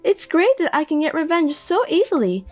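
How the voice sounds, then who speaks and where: Casting: high, mocking mother voiced by a woman, scornful, drama audio. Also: very animated, moderate pace, faint music behind. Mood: gloating. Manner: mocking, gloating. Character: scornful mother, middle-aged, sharp-tongued.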